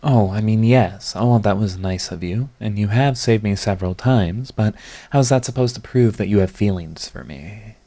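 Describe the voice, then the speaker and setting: Deep-voiced lovestruck villain played by a man. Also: moderate pace, hardly any pauses, warm tone. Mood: startled, indignant. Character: flustered, lovestruck male villain, proud yet tender. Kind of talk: romantic ASMR audio roleplay.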